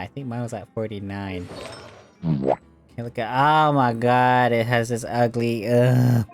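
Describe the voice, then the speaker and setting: A man giving casual, reactive gameplay commentary with informal muttered asides. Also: medium pitch. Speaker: gamer commenting on playthrough.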